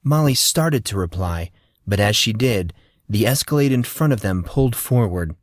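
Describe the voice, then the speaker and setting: A man's voice: on the low side, animated, moderate pace, dry recording, studio quality. A man reading scripted fiction as an audiobook narrator.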